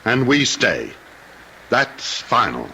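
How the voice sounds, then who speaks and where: An actor playing a tense, stubborn husband and master of the house in old-time radio drama style, with an edgy manner. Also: male voice, medium pitch, wildly swooping pitch, slow pace, gravelly, bright, forceful tone, slight background noise, heavily acted. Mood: stern, angry. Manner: edgy, curt and commanding.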